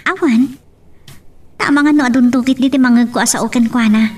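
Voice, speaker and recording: Woman acting a high-voiced young Ilocano mother. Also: fairly even intonation, fairly quick, far from the mic, loud music behind the voice, natural voice. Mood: earnest. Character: single mother daughter, friendly and earnest. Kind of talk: Ilocano radio-style serial drama acting.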